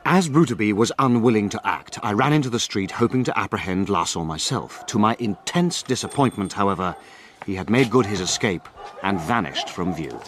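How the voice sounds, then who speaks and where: An actor playing a gentleman narrating his own adventure in a radio drama, measured and formal. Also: lowish voice, animated, background noise, lightly acted. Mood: composed. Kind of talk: scripted first-person narration in radio drama.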